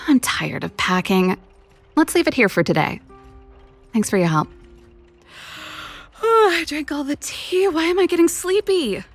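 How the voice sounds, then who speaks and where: An actress playing a breezy, teasing older sister in a video game, a young woman with a casual, playful manner and a slightly gravelly voice. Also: sits high, lively intonation, measured pace, pauses often. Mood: weary. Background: music.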